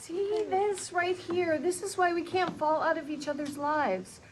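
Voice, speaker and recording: A woman's voice: very high voice, measured pace. A woman playing an emotional, insistent wife and close friend in a sitcom script table read. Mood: earnest, pleading. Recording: clearly noisy room recording.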